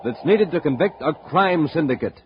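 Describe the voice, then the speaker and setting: Animated 1950s radio announcer man. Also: on the high side, normal speed. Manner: dramatic, punchy. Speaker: announcer and pitchman. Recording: noisy, muffled 1950s radio recording.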